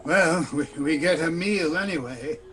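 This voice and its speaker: Radio drama sidekick male actor: weary, timid crook of a doctor, hesitant, slightly high-pitched voice. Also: a little slow, a bit bright, soft background music. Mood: relieved, dreamy. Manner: hesitant, stammering, pleading. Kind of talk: old-time radio play acting.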